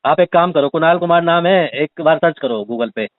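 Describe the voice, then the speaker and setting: A man's voice: on the high side, normal speed, dry recording. A man arguing on a phone call, teasing and defiant, sometimes pleading in mock fashion. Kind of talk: argumentative phone conversation. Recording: muffled, band-limited phone call recording.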